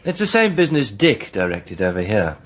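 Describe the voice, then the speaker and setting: An actor playing a polite, self-possessed gentleman in a radio drama, measured and well-spoken. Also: lowish voice, big pitch swings. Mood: smooth, guarded. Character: self-possessed gentleman posing as a visiting businessman.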